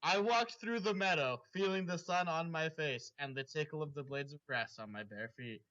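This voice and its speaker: A man's voice: fairly high pitch, moderate pace, bright, forceful tone. A man reading fan fiction aloud and breaking off into casual, chatty asides. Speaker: story reader. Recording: dull lo-fi recording.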